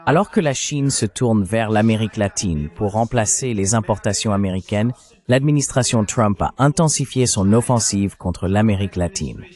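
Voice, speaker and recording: A man's voice: fairly low pitch, animated, normal speed, a little mellow. A man delivering scripted political and economic commentary in a formal, didactic manner. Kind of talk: scripted analytical narration.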